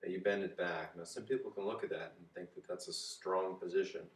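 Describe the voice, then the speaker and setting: Hoarse man, very low voice, golf coach, echoey kitchen, far from mic. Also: fairly even intonation, a little fast. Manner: easygoing, demonstrating swing positions while talking. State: hoarse from a cold. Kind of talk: casual video tips. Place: home kitchen and dining room, indoors. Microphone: far camera. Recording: echoey room, voice from a distance.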